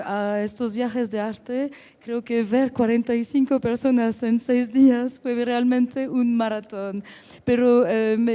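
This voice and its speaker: A woman's voice: a little high, mellow. A woman with a French accent speaking Spanish as a second language, presenting a book as its editor with frequent hesitations and restarts. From France. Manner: hesitant, many fillers and restarts.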